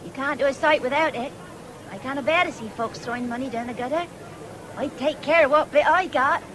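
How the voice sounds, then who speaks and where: A woman's voice: high-pitched, a little fast, crowd noise, lightly acted. An actress playing a thrifty, forthright young country woman with a rural English accent in a 1970s radio drama, coy and scolding. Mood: gently scolding. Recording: noisy old radio broadcast, fairground din.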